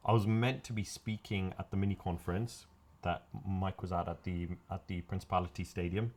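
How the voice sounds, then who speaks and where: A man's voice: low-pitched, steady tone, a little fast, a little mellow. A man co-hosting a podcast in casual chat, friendly and asking follow-up questions. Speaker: podcast co-host.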